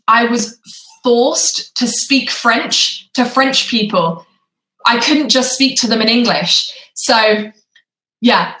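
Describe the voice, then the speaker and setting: A woman's voice: a little high, a bit bright, echoey room, poor audio. A woman English tutor chatting casually with a student on a video call, often saying "you know". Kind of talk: casual conversation.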